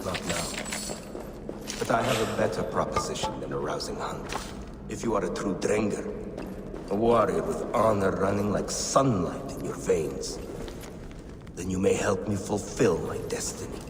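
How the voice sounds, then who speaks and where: A boastful Viking warrior declaims in a lowish voice. Long reverb trails his grand words, and ambient noise is clearly heard. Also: unhurried, long pauses. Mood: grave, proposing. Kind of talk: video game dialogue acting.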